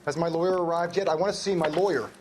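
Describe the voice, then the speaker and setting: An actor playing a defensive, bitter, hot-tempered American shopkeeper under police questioning in a video game. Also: male voice, on the high side, fairly even intonation, fast talker, background noise, close to an everyday voice. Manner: agitated, indignant. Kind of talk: video game cutscene acting.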